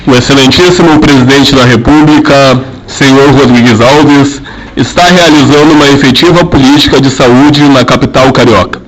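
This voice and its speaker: A man's voice: mid-range voice, moderate pace, low-quality recording. Formal young man announcing news. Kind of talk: scripted period radio narration.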